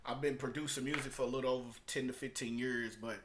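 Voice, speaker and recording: A man hosting a casual, unscripted video as a music producer and entrepreneur, speaking haltingly with emphatic repetition and shifting between subdued and hyped delivery. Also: mid-range voice, fairly even intonation, a bit bright, slight background noise. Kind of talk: casual unscripted vlog monologue.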